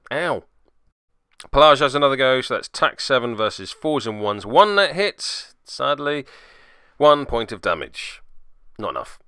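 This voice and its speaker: A man's voice: medium pitch, pushed and bright, clean tone, no echo, clean studio sound. A man hosting a tabletop miniatures game report, giving semi-scripted play-by-play with wry, playfully mock-dramatic asides.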